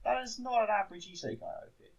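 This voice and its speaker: A man's voice: sits high, rapid-fire, dull audio, a loud crowd. A man chatting casually as a podcast host in a matter-of-fact manner.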